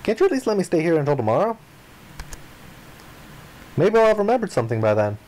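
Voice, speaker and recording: A man's voice: high-pitched, very animated, fast, a little mellow, pitched above the performer's own voice, poor audio. Man acting out an indignant, protesting young castaway hero. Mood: indignant, pleading. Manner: exclamatory, protesting. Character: indignant, stubborn amnesiac young man, visual novel protagonist.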